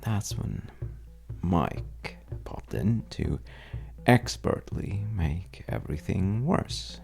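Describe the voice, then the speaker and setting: Man acting a deep, gravelly, roguish bar stranger. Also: expressive, a little mellow, faint music behind, full character voice. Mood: amused storytelling. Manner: wry, teasing, hesitant storyteller with dramatic pauses. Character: well-dressed roguish stranger at a bar, wry trouble-seeking charmer. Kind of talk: intimate audio roleplay monologue addressed to the listener.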